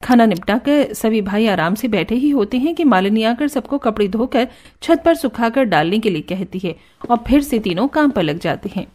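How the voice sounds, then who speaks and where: A woman narrating a cartoon story in an even storytelling manner. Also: on the high side, moderate pace. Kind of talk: scripted narration.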